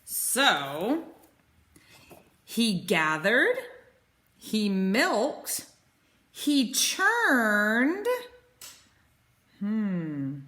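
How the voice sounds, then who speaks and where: Teacher lady in her 30s doing storytime, bright voice, really up and down, simple words for kids. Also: medium pitch, wildly swooping pitch, drawn-out words, long pauses. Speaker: teacher reading to young pupils. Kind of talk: picture-book read-aloud with explanations for children.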